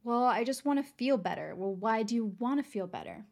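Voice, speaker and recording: Young woman podcast host, somewhat high voice gone raspy, chatty and upbeat, talks to listeners like friends. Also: lively intonation, a little fast, a little mellow. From San Antonio, Texas. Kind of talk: casual motivational podcast talk.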